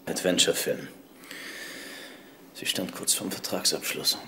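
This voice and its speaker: A man's voice: low voice, unhurried, vocal fry, sharp tone, a little hiss or hum, heavily acted. An actor playing a middle-aged married man questioned in a crime drama, answering in a subdued, defensive way. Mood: subdued, wistful.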